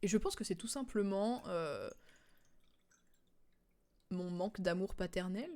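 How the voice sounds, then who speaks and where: A woman's voice: mid-range voice, a little slow, darkish tone. A woman streamer giving casual game commentary, explaining the story in a hesitant, digressive way.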